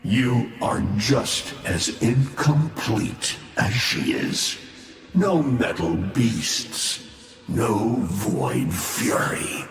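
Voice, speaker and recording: Old embittered game warlord, low voice, theatrical menace, mocking, long cavernous reverb. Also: male voice, animated, soft background music. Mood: scornful, mocking. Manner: theatrical, slow, menacing, drawn-out delivery. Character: bitter, ancient fallen warlord, mocking and grandiose. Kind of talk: dramatic video game voice acting. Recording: cavernous echoing reverb, faint ambient noise.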